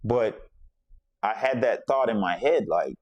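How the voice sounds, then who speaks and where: A man's voice: lowish voice, expressive, a little slow, darkish tone, no room sound, lo-fi sound. A man from the Bahamas hosting a podcast, telling a personal story in a reflective, conversational way and circling back to repeat his points. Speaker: podcast host.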